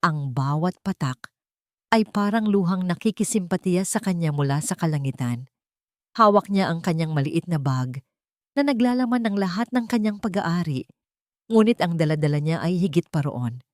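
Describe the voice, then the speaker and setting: A woman's voice: lowish voice, animated, moderate pace, a little mellow, no echo, studio quality. A woman narrating a scripted audio drama as a storyteller, in a dramatic style.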